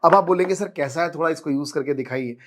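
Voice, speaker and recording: A man's voice: fairly high pitch, fast, a bit bright. An Indian man giving an informal, persuasive product demonstration, explaining things and mixing in English words. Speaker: product presenter and seller.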